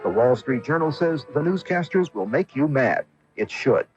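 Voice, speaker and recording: A man's voice: medium pitch, expressive, moderate pace, slightly rough, muffled recording, faint music behind. An American man, a journalist, delivering a scripted promotion on an old radio commercial. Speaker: journalist and author promoting a book.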